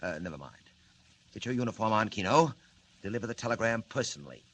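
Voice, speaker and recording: A man's voice: on the low side, animated, fast talker, breathy edge. An actor playing a scheming, mocking male villain mastermind in 1940s radio acting, with smug, stiff, formal phrasing. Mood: commanding.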